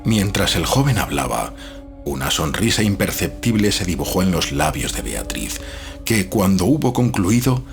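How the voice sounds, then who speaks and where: A man narrating a scripted literary horror tale in a measured, dramatic storytelling manner. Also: low voice, big pitch swings, vocal fry, faint music behind.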